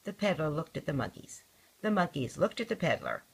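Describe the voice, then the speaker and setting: An older woman reading a children's story aloud as a grandmother would, with deliberate, dramatic emphasis. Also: sits low, big pitch swings, creaky, breathy edge, rough recording.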